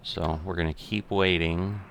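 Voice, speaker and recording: A middle-aged man giving unscripted simulation game commentary, thinking aloud in a methodical, halting way. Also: deep voice, steady tone, creaky, fairly bright tone, slight background noise. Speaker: gaming video host.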